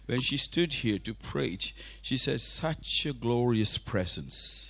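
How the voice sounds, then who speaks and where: A man whose first language is Tamil, preaching a sermon in English in a church, speaking in halting phrases with restarts for an interpreter. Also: mid-range voice, lively intonation, vocal fry, bright, forceful tone. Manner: halting, restarts, rising intensity.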